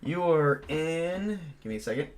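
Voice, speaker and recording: A man acting as game master, improvising tabletop roleplay conversationally in a halting, rambling way. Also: fairly high pitch, expressive.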